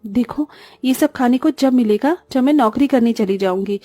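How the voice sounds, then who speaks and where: A young elder sister, played by a woman in a cartoon story, with a soft, dark-toned voice. She sounds burdened and tired yet caring and reassuring. The sound is dry and close. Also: fairly high pitch, moderate pace, dry recording, faint music behind, natural voice. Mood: sad, reassuring. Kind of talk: Hindi cartoon story voice acting.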